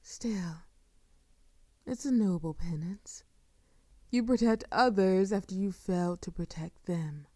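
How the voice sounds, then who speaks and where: A woman playing a seductive, sadistic vampire lord in an intimate audio roleplay, with soft murmurs and a theatrical, condescending, drawn-out delivery. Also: medium pitch, dry recording. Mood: mocking, silky.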